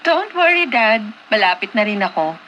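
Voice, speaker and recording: Gracious young Filipina heiress, very animated. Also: female voice, a little high, normal speed, lo-fi sound, a little hiss or hum. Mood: bright, affectionate. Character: Julia, young Filipina heiress, once haughty, now gracious. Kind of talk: Tagalog radio drama acting.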